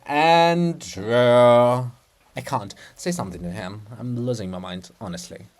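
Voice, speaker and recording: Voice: medium pitch, measured pace, darkish tone, voice pushed down, natural voice. A reader voicing an exasperated, haughty princess in a dramatic farce, complaining melodramatically and imperiously. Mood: irritated pleading. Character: exasperated, haughty princess statue, long-suffering and scolding. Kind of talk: dramatic stage reading of a farce.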